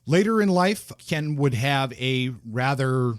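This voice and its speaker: A man hosts a book podcast, talking through an author's life in loose, unscripted sentences full of small restarts. His voice sits at an ordinary middle pitch for a man. The sound is dry and close, with no room echo. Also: animated, takes it slowly, clean tone. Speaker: podcast host. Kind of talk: conversational podcast talk about books.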